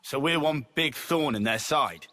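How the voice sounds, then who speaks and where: A man's voice: on the high side, lively intonation, a little slow, pushed and bright, no room sound. A man playing a blunt, questioning young soldier in a video game, direct and challenging. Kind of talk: video game cutscene voice acting.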